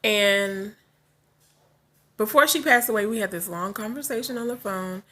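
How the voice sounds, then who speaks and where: A woman's voice: medium pitch, normal speed, pushed and bright. A woman in her forties chatting straight to her viewers, open and direct. She laughs easily and wanders into side thoughts. She sounds like she has only just woken up. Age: 40s. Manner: frank, chatty, laughs often, trails off into asides. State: just woke up. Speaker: vlogger. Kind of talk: casual chat to camera.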